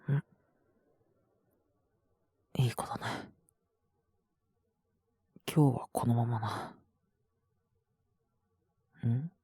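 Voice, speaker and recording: A man voicing a possessive, teasing young half-human wolf man with a cold, in an intimate romantic audio roleplay, breathy and rough-spoken. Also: mid-range voice, a little mellow, no echo, full character voice. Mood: clingy, possessive. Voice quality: husky, breathy, often near a whisper. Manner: languid, rough casual speech, teasing. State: sick with a cold, coughing (acted).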